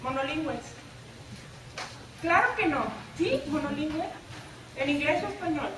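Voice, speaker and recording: A woman's voice: high voice, animated, measured pace, noisy background. A woman from the Laredo border with a northern Mexican Spanish accent, giving an interactive talk to teachers and often asking them "¿verdad?". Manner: engaging, asks the audience questions, tag "¿verdad?". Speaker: presenter at a teacher workshop. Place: lecture room. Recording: echoey room, from far off.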